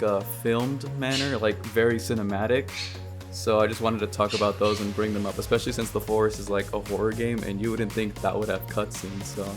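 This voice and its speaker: Male podcast guest, slightly low pitch, casual conversational delivery, music clearly playing behind him. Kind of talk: casual gaming podcast talk.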